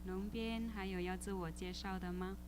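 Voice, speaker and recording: A woman emcee of middle pitch who gives short, courteous prompts and welcomes. Clear background noise runs under her voice. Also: steady tone, normal speed. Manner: brief, polite prompts and welcomes. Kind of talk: hosting a ceremony in Chinese.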